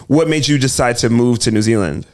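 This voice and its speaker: Fast-talking upbeat podcast host. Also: male voice, mid-range voice. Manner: quick, upbeat, slangy. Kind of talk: casual interview chat.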